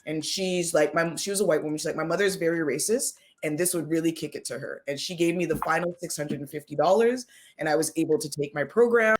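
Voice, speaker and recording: A woman's voice: low-pitched, fast talker. A woman from the Caribbean, a guest in an interview, candidly recounting her path with easy laughs. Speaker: guest, front-end web developer. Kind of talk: casual interview chat.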